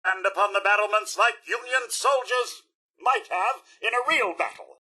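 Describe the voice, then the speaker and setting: A man's voice: sits high, normal speed, sharp tone, husky, full character voice. A man voicing a courteous, chipper robot butler in a video game, with a British accent and a formal, theatrical manner. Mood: grandly announcing. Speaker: robot servant and tour guide. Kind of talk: video game character voice lines.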